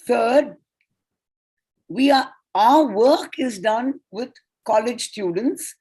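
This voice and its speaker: Tamil-native woman presenter, husky, fairly high, unhurried and methodical. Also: mellow, low-quality recording. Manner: measured, deliberate lecturing with enumerated points. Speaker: conference paper presenter. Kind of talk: academic conference presentation. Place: online conference session.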